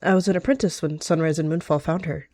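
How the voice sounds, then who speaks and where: A woman's voice: sits low, steady tone, fast, dark tone, no room sound. A woman voicing a practical, friendly female healer cat in a roleplay, matter-of-fact and welcoming.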